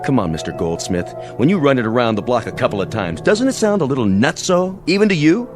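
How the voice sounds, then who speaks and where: A man's voice: medium pitch, very animated, fast, soft background music, lightly acted. An American man playing a domineering, mocking army major in an audio drama, talking in sarcastic, slangy, menacing lines. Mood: mocking, scornful. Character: domineering, mocking army major enforcing order after nuclear war.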